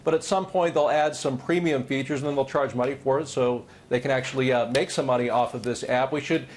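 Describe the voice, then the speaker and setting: A man works as a TV reporter, explaining things live and putting points to people. His voice is medium in pitch. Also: fairly even intonation, fairly quick. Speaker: TV news reporter.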